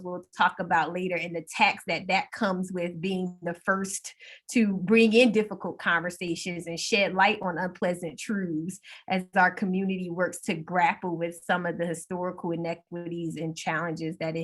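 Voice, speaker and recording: A woman speaking as a panelist and school district equity leader on a video call, in a measured, thoughtful way with frequent ums. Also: on the low side, a bit bright. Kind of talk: virtual panel discussion.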